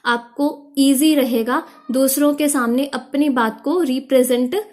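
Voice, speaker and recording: A young woman teacher giving a video lesson on speaking skills, explaining steadily and stating short tips slowly. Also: sits high, a little mellow. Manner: explains in mixed Hindi and English, reads out English tips slowly. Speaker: IELTS teacher.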